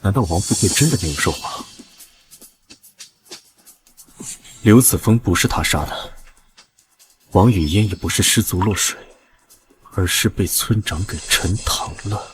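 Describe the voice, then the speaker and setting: A man playing a shrewd, composed investigator in a drama, speaking with deliberate, authoritative reasoning. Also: low-pitched, faint music behind, lightly acted. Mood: pensive. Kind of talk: dramatic audio drama acting.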